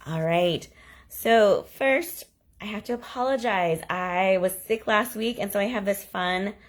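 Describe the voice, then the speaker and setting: A woman hosts a crafting video in a chatty, enthusiastic way, gushing over each card she shows. Her voice sits at an ordinary middle pitch and has a slight hoarseness left over from a cough. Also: a little slow. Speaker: stamping demonstrator and channel host. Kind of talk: casual crafting video walkthrough.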